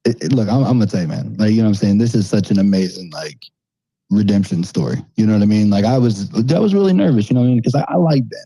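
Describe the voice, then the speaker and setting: Husky, dark-voiced man talking fast and loose. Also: on the low side, no room sound, low-quality recording. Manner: excitable, keeps saying "you know what I mean". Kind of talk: casual podcast chat.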